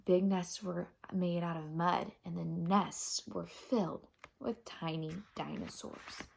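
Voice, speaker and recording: A woman reading a children's chapter book aloud, with dramatic, animated storytelling and deliberate emphasis. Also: on the low side, gravelly, a little hiss or hum.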